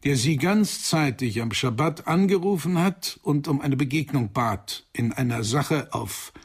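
An actor playing a stern male psychoanalyst in a German radio crime drama, speaking in a measured, formal, accusing manner. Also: mid-range voice, animated, husky. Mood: cold, accusing. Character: stern male psychoanalyst coldly confronting a murderer.

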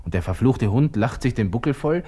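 An actor playing a proud, brooding young army lieutenant with a Viennese accent, muttering to himself in a colloquial, abrupt way in a radio drama. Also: male voice, mid-range voice, lively intonation, a little fast, mellow, breathy edge. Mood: agitated resolve. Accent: Viennese Austrian. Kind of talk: 1960s German radio drama inner monologue.

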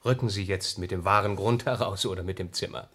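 Deep-voiced, persistent reporter. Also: male voice, brisk, fairly bright tone, breathy edge. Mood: wry, pushy. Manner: insistent, dryly ironic. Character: persistent, wry male newspaper reporter checking into hotel. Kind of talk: radio crime play acting.

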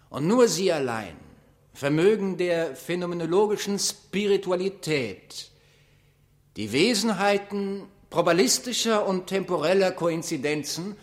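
High-pitched pompous philosopher. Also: male voice. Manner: pompous, declamatory, grandiose. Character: pompous male philosopher lecturing in lofty abstract jargon. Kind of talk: 1960s radio drama, mock-academic declamation.

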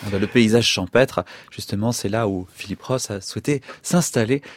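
A man hosting a literary radio programme, presenting in measured phrases with hesitant pauses. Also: lowish voice, no room sound. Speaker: radio host.

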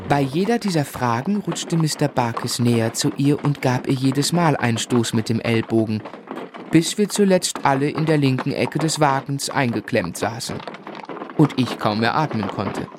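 A man's voice: mid-range voice, lively intonation, normal speed, background noise, natural voice. A man playing an adult looking back on his childhood, narrating a literary radio play in the first person. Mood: wry. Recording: faint music behind.

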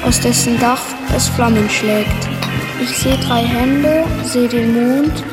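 An apocalyptic talking lightbulb, chanting visions of doom. The high female voice is slow and incantatory, wrapped in loud music, in a radio play. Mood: ominous chanting. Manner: incantatory, drawn-out.

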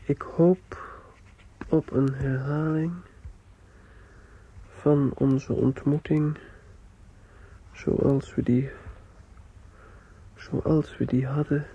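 An actor playing an earnest, polite young male student in a radio drama, speaking in a measured way. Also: a little high, steady tone, warm tone, husky, dull audio, full character voice. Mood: wistful. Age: young adult.

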